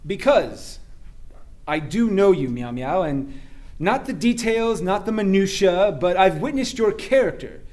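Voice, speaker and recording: An actor playing a cocky but sincere starship captain in a sci-fi radio play, with an earnest, wry, halting delivery. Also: male voice, high-pitched, expressive, a little mellow.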